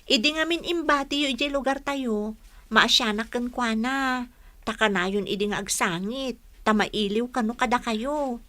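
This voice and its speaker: A curious young Ilocano woman, chatting as a loyal, gossipy friend in a radio drama. Her voice is high and bright. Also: a little slow. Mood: confiding.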